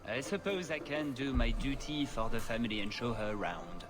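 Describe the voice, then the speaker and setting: An actor as a duke's haughty young son in a fantasy game. His voice is mid-range, polished in manner and courtly, with a condescending edge. Soft music plays behind him. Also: medium pitch, normal speed, lightly acted. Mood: amused disdain. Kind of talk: video game dialogue.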